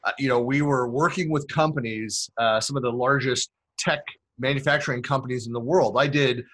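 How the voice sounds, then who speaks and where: A man's voice: mid-range voice, fairly even intonation, brisk, a bit bright. A man hosting an interview podcast, chatty and rambling with many fillers. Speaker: podcast host.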